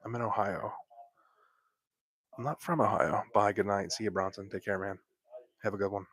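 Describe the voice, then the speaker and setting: A young man whispering softly into a close microphone while hosting a laid-back late-night livestream from bed at home. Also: on the low side, steady tone, normal speed. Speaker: livestream host.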